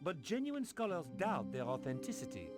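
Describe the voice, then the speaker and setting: A cautious, scholarly man in an adventure game. He is methodical and measured in wording, with very lively intonation. Faint music sits behind him. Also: fairly high pitch. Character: scholarly, cautious male friend of the heroine.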